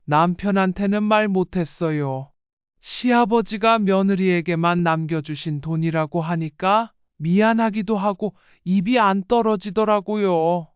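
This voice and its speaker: A woman's voice: mid-range voice, measured pace, clean tone, dry recording, dull audio. A woman reading a scripted first-person life story in audiobook style. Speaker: narrator.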